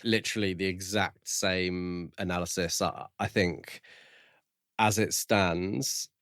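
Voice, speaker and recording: A man co-hosting a casual book review podcast, speaking in a measured, agreeable, loosely phrased way. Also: low voice, fairly even intonation, a bit bright, smooth, dry recording, clean studio sound.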